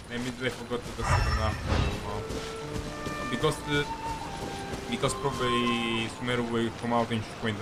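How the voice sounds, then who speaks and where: A man doing casual live game commentary as a streamer, halting and self-deprecating in how he talks. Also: medium pitch, rough recording. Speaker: game livestreamer. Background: game music.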